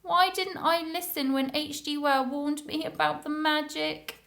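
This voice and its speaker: A woman voicing an excitable male storybook character with an exclamatory, pleading way of talking. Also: high voice, unhurried, creaky, pitched above the performer's own voice, heavily acted. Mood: regretful. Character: male storybook character, panicky, regretful, eager when fed.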